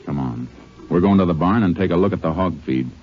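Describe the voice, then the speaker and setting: An American man playing a calm, methodical Texas Ranger in a 1950s radio western, with a terse, matter-of-fact delivery. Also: low voice, a little fast. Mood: businesslike, deducing. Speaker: lead actor and narrator. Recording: dull old radio recording with music.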